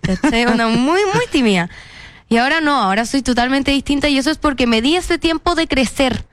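A woman's voice: high voice, a little fast, dry recording. A young woman with a Chilean accent, chatting casually and expressively as an interview guest, often repeating words for emphasis. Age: young adult.

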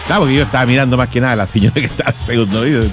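Chilean male radio host, lively colloquial banter and rambling anecdotes, higher-than-average voice, clear music bed. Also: racing. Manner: rambling, jokey, colloquial, chuckles often. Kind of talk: casual humorous chat, anecdotes and listener greetings.

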